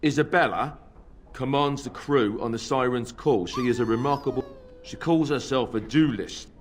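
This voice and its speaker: A man's voice: mid-range voice, normal speed, slight background noise, close to an everyday voice. Man voicing a plain-spoken admiring game character. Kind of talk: video game character dialogue.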